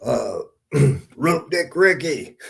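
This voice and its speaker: Slow-paced, higher-voiced man hosting a podcast. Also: big pitch swings, gravelly. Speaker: podcast host. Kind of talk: casual chat.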